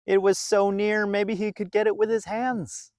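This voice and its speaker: Male storybook reader, higher-pitched, very expressive, deliberate phrasing, close dry recording. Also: no room sound. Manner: expressive, deliberate, drawn-out phrasing for young listeners. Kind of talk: animated children's storybook narration.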